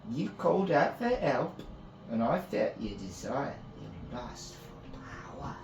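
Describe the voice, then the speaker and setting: A man's voice: medium pitch, sing-song, slow, gravelly, background noise, pitched above the performer's own voice, exaggerated voice. Man voicing a savoring, bloodthirsty fae priestess in echo. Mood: playful, scheming. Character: maniacal, patronizing female fae priestess, bloodthirsty and giggly. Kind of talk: dramatic fantasy storybook reading. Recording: roomy echo, music behind.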